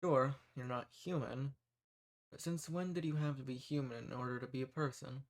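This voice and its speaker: A man's voice: mid-range voice, fairly even intonation, moderate pace, lightly acted. A man playing a kind, folksy human rebel who earnestly reassures and shelters monsters in a scripted audio roleplay. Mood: earnest, explaining. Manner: folksy, reassuring, earnest, uses y'all and ain't. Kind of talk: scripted audio roleplay, speaking softly to the listener.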